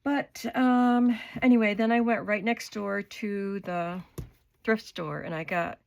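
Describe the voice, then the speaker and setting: An older woman with a cold and a hoarse voice, chatting unhurriedly as she shows her craft supplies in her home studio. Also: mid-range voice, steady tone, muffled recording. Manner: chatty, unhurried, describing items up close. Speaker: craft vlogger. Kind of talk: casual show-and-tell haul.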